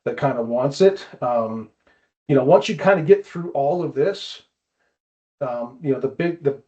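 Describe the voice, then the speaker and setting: A man's voice: medium pitch, lively intonation, a little slow, dark tone. A man hosting a casual explanatory talk, conversational and often checking in with rhetorical questions. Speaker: host presenting tips. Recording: dull, band-limited audio.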